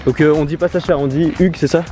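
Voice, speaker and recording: A man's voice: a little high, fairly even intonation, fast talker, music in the background. A man with a Parisian French accent chatting casually as a vlog host, joking and tongue-in-cheek, recorded outdoors on a handheld camera. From France, Paris. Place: outdoors in a city park at night. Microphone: compact camera held by the speaker.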